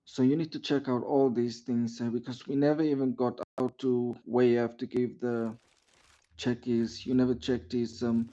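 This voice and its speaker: Flat-voiced man coaching a trainee. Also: mid-range voice, highs cut off. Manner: instructive, formal, ends lines with "sir", many "um"s. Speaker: military unit trainer in a roleplay game. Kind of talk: giving a trainee feedback over game voice chat.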